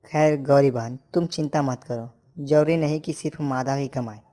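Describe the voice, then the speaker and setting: A woman's voice: a bass voice, moderate pace, mellow. A woman voicing a kindly, generous male village merchant in a cartoon story, reassuring and brotherly. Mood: friendly.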